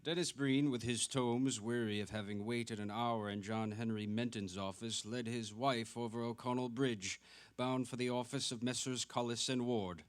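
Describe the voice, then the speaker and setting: A man's voice: mid-range voice, fairly even intonation, normal speed. A man narrating literary prose in a dramatic stage reading.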